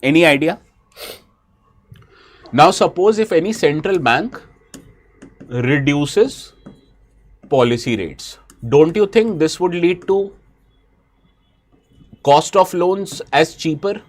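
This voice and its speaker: Exam-prep teacher, Indian man with a head cold, slightly high voice, questions his class as he explains. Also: expressive, takes it slowly, long pauses. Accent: Indian English. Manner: asks students questions, switches briefly into Hindi. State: has a bad cold. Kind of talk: live online lecture.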